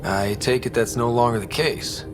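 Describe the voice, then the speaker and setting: A man's voice: medium pitch, steady tone, normal speed, music in the background, lightly acted. A man playing a wry, hopeful young leader in a video game cutscene. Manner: wry, casual, encouraging. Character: young human male Pathfinder squad leader, wry and hopeful.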